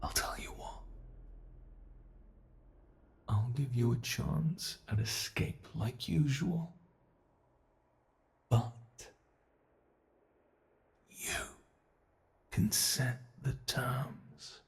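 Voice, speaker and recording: A British man voicing a teasing, predatory male monster who holds the listener captive, speaking slowly and mockingly in an ASMR horror roleplay. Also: mid-range voice, gravelly. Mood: taunting. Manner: slow, taunting, toying with the listener.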